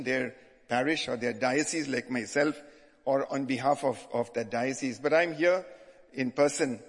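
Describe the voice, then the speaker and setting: Older Indian-accented bishop in an echoey hall. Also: male voice, medium pitch. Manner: hesitant, frequent restarts. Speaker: visiting bishop. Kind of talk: sermon-style address.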